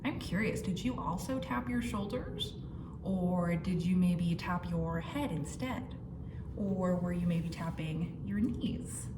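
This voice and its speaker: Slow-paced woman leading storytime, roomy echo. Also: lowish voice. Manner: encouraging, talking to young children. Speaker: library storytime leader. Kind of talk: children's storytime with action songs. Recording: roomy echo, distant mic, clear noise.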